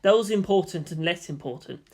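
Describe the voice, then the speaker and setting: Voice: mid-range voice, lively intonation, normal speed, darkish tone. A narrator reading scripted fan fiction prose as an audio story.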